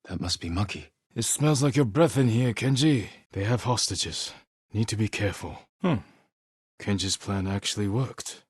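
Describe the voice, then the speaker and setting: An actor playing a stern, honorable young samurai in a video game, speaking low and husky in a restrained, measured, terse way. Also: male voice, no room sound, clean studio sound. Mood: grave, resolute, then raised, urgent, then firm, measured. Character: stern, honorable young samurai warrior, weary but resolute. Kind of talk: dramatic video game acting.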